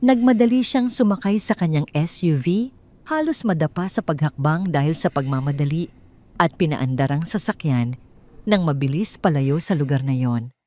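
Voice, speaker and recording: Low-pitched Filipino woman narrating drama. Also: expressive, moderate pace, warm tone. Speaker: storyteller. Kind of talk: scripted dramatic story narration.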